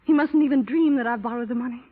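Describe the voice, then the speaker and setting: A woman's voice: a little high, fast, narrow, tinny audio, natural voice. A woman playing an anxious, earnest young wife in a 1930s radio drama, with a refined, pleading manner. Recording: thin, band-limited 1930s radio recording.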